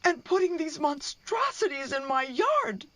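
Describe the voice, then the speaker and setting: A woman's voice: sits high, sing-song, measured pace, a bit bright, no echo, heavily acted. A woman playing a hurt, sarcastic middle-aged wife and mother in a radio play, speaking with open emotion. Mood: bitter, anguished.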